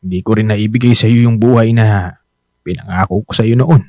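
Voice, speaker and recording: An actor playing a weary, self-blaming middle-aged husband, a poor driver, apologetic and earnest, with a Manila Filipino accent in a radio drama. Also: male voice, fairly low pitch, steady tone, a little fast, darkish tone, no echo, studio quality, lightly acted. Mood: ashamed, downcast.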